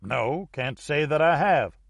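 A man's voice: on the high side, expressive, slow pace, vocal fry, no room sound, pitched above the performer's own voice, exaggerated voice. Guy doing a big coarse louisiana man, blunt and drawly, audiobook style, dry sound. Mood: grudging.

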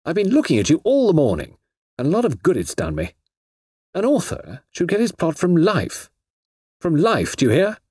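A man's voice: a little high, wildly swooping pitch, moderate pace, a little mellow, slightly rough, no room sound, studio quality, voice pushed up, full character voice. A man reading a story, voicing a muddled, exasperated male writer who mutters and argues with himself. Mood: irritated. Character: fussy English male author, exasperated and muddled.

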